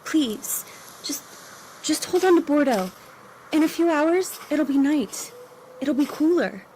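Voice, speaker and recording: An actress playing a protective, steadfast young woman urging a weary companion on in an audio drama, with gentle reassurance. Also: high voice, expressive, takes it slowly, a little hiss or hum, poor audio. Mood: urgent, encouraging. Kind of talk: cinematic audio drama acting.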